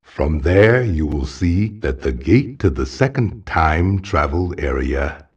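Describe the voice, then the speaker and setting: Very deep, solemn ancient spirit. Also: male voice, very animated, slow pace, lo-fi sound, background noise, exaggerated voice. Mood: solemn, guiding. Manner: solemn, grave, fatherly. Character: solemn, wise ancient male guardian mask spirit. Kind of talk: video game cutscene voice acting.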